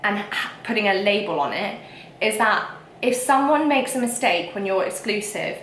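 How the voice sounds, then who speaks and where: A woman's voice: mid-range voice, lively intonation, moderate pace, a bit bright, reverberant, a little hiss or hum. Emphatic young woman vlogging. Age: young adult. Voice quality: whiny. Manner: emphatic and frank, with frequent fillers. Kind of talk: casual ranting to camera.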